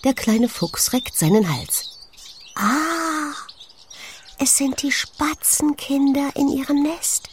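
A woman reading a children's story in an expressive, hushed and wondering way, speaking directly to a small child. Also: high-pitched, slow, a little hiss or hum. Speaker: audiobook narrator for young children. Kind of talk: gentle scripted children's story narration with questions to the listener. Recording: birdsong and clicks behind the voice. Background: forest sounds with chirping birds.

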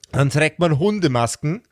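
A man's voice: a little high, no echo, studio quality. A man talking casually and emphatically as a game master, often repeating words for emphasis. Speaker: podcast host and game master. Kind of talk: casual group discussion of a role-play campaign.

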